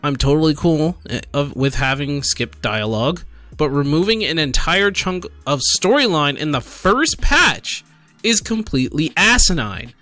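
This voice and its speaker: A man's voice: on the high side, animated, a little slow, sharp tone, no room sound, soft background music. A man giving casual, exasperated gaming commentary while reading posts aloud, repeating himself for emphasis. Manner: exasperated, incredulous, emphatic with repetition. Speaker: gaming streamer and host.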